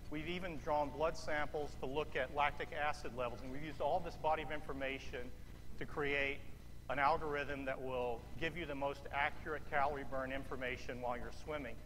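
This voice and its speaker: A middle-aged man giving a keynote product presentation from a large auditorium stage, speaking in a confident, measured way with the odd filler. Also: fairly high pitch, a bit bright. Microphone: headset. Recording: long hall reverb, room noise.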